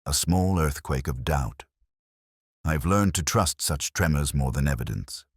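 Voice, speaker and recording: A man playing a weary, introspective police detective, narrating a crime drama in the first person with reflective, literary, measured phrasing. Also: rumbling low, no room sound, studio quality, full character voice.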